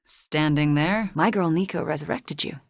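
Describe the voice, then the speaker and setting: A young sorceress heroine from a video game, speaks in a slightly low voice, recorded very dry. She is earnest and tentative, with soft, breathy phrasing. Guilt and a wry streak color the way she talks. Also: moderate pace, no room sound. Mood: earnest, lightly wry.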